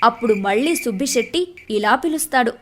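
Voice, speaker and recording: A woman's voice: on the high side, expressive, fairly quick, fairly bright tone, faint music behind. A woman narrating a scripted moral story for children as the storyteller.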